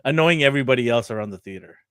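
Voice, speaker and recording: A man from Canada co-hosting a casual chat, animated, laughing often and tripping over his words. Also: mid-range voice, very fast, clear, resonant tone, no echo, clean studio sound. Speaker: co-host.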